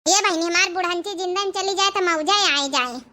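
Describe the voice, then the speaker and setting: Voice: very high voice, moderate pace, sharp tone. A performer voicing a sly, coaxing young village daughter-in-law in a comic cartoon family drama, with a rustic Awadhi accent and a wheedling, persuasive manner. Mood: sly, exasperated.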